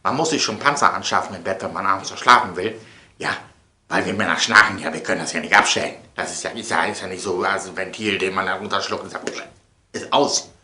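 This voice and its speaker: A man's voice: low-pitched, fast, pushed and bright. A man hosting a comedic monologue to viewers, theatrical and repeating phrases for emphasis. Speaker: host of an online show. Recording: echoey room sound.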